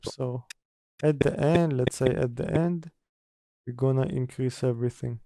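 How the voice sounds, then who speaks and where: A man giving a casual software tutorial, hesitant and thinking aloud as he works. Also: mid-range voice, unhurried, heavy background noise. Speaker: tutorial presenter. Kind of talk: casual software tutorial, talking through steps.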